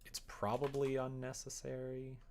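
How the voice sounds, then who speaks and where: Creaky-voiced livestreamer, casually thinking aloud. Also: medium pitch, monotone, normal speed, darkish tone. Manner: rambling, muttering to self, self-deprecating. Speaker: livestreamer making a game. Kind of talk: casual livestream chat, thinking aloud while coding and replying to viewers.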